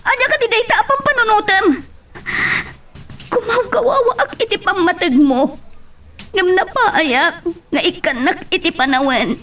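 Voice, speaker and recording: A woman's voice: very high-pitched, normal speed, pauses often, pushed and bright. A woman with an Ilocano accent playing a hurt, pleading woman in a family love drama, with emotional delivery. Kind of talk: Ilocano radio-style drama acting.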